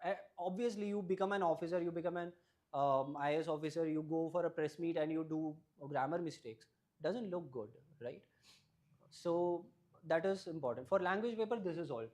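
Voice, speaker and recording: A man's voice: on the high side, moderate pace, darkish tone. A young man whose first language is Malayalam, an exam topper explaining his study strategy in an interview and often checking in with "right?". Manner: advisory, often ends points with a questioning "right?". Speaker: exam topper giving advice.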